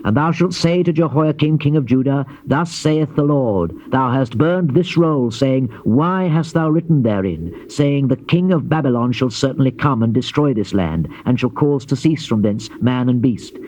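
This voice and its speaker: An actor playing a grave Old Testament prophet in a dramatized scripture reading, with solemn, declamatory and measured phrasing. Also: male voice, medium pitch, lively intonation, a little mellow, dry recording, close to an everyday voice. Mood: stern, proclaiming. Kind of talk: dramatized scripture reading, classical stage delivery. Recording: dull lo-fi old cassette, faint hiss.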